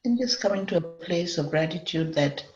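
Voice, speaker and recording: A woman leading a guided meditation in a measured way, often pausing in the middle of sentences. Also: on the low side, lively intonation. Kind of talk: guided meditation, semi-improvised. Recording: dull lo-fi, echoey room.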